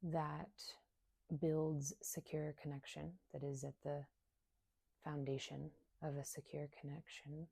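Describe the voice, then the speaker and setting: A woman leading a guided meditation in a soft, soothing, unhurried way, leaving long pauses between short phrases. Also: low-pitched, little pitch movement, vocal fry, breathy edge, no room sound. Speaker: meditation guide and somatic coach.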